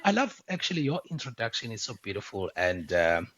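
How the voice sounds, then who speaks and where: A man's voice: lowish voice, big pitch swings, fairly quick, a bit bright. Chatty South African man hosting. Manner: chatty, many fillers and restarts. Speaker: podcast host. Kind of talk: casual video-call interview. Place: at home. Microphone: video call.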